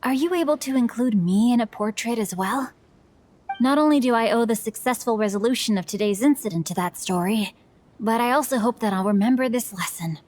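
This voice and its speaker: A woman's voice: fairly high pitch, a little fast, darkish tone, close to an everyday voice. A woman playing an earnest, humble young novelist in a video game, speaking formally and politely.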